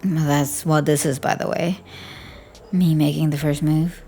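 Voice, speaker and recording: A woman performing a scripted audio roleplay as a flirty, teasing bartender, speaking softly and often near a whisper. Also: deep voice, steady tone, unhurried, slight background noise.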